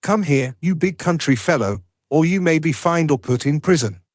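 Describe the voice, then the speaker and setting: A man's voice: fairly high pitch, expressive, moderate pace, no echo, clean studio sound, pitched above the performer's own voice, lightly acted. Man doing a pompous royal messenger. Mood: commanding. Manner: pompous, commanding. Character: hard middle-aged male king's messenger, self-important.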